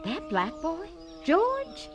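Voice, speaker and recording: A woman's voice: very high-pitched, wildly swooping pitch, drawn-out words, background noise, heavily acted. An actress playing a prim, haughty visiting neighbor woman who meets everything with disbelief, in a radio play. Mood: doubting. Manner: incredulous and prim. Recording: music playing under the voice.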